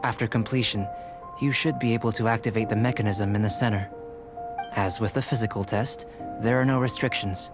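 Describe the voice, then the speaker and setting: An actor playing a calm, curious young male alchemist in a video game, speaking in a measured, polite way. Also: fairly low pitch, lightly acted. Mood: courteous. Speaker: alchemist researcher. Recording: game audio with music behind.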